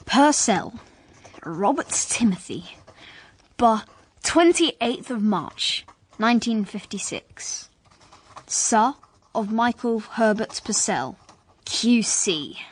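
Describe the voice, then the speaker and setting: A man's voice: sits high, very animated, slow, long pauses, slightly rough, full character voice. An actor with an English accent playing a dutiful eight-year-old boy in a radio drama. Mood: reciting. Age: child figure. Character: young English schoolboy, earnest and dutiful.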